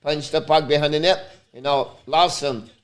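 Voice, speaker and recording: A man with a Quebec French accent, French his first language, calls the game and chats with viewers on a casual live stream. His voice sits a bit high for a man. He breaks into loud excited outbursts. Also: normal speed. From Montreal, Canada. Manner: talks straight to viewers. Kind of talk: casual live play-by-play and chat.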